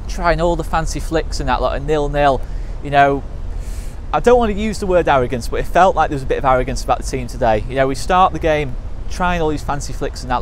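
A young man, giving a blunt, frank post-match review to camera outside a stadium, with lots of fillers. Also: fairly high pitch. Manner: blunt, frank, fan's frustrated verdicts with many fillers. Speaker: football fan channel presenter. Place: outdoors by a stadium car park. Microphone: camera mic, chest-up framing. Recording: faint traffic noise outdoors. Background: faint passing cars.

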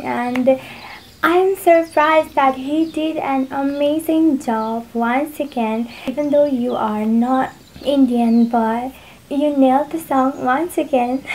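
A young woman from Nepal hosting a casual reaction vlog, soft-spoken and laughing often. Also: sits high, a little hiss or hum. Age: young adult. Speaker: YouTube reaction host. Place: indoor room with red backdrop and fairy lights.

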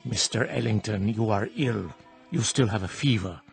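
A stern, measured monk. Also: male voice, lowish voice, gravelly. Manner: calm, measured, unyielding. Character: stern monk of a remote religious order. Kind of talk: radio drama acting. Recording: radio play with music behind.

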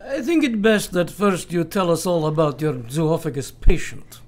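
An actor playing an eccentric, probing professor in a radio play, formal and deliberate. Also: male voice, on the high side, natural voice.

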